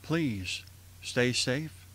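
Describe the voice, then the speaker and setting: A man's voice: mid-range voice, animated, extremely slow, fairly bright tone, husky. A man hosting and announcing a radio theater outro, addressing listeners directly in a friendly, scripted way. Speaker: host and announcer.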